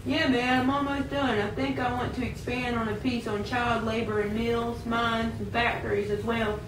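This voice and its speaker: A woman's voice: on the high side, little pitch movement, normal speed, natural voice. A woman playing an earnest old-time American man in an amateur puppet show. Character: earnest 19th-century American man, farmer or reformer. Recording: echoey room, distant mic, clear noise.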